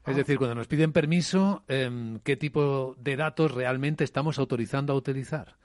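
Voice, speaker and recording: A man's voice: mid-range voice, moderate pace, darkish tone, no echo, clean studio sound. A man from who hosts a radio panel and steers it with short questions. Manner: asks short guiding questions. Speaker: radio host. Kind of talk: moderating a panel.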